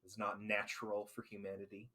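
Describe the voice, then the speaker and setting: A man's voice: on the low side, monotone, fairly quick, bright, forceful tone. Rambling man chatting about a show. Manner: rambling, lots of fillers and restarts. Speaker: podcast host. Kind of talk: casual chat.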